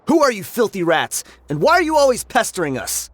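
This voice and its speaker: An actor playing a sneering, cowardly bandit underling in a video game, blustering and prone to stammer. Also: male voice, sits high, huge pitch swings, a little fast, slight background noise.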